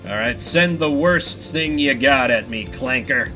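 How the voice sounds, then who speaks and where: A young man streaming a video game, giving excitable commentary and swearing casually, over game music. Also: medium pitch, unhurried. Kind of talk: gameplay commentary.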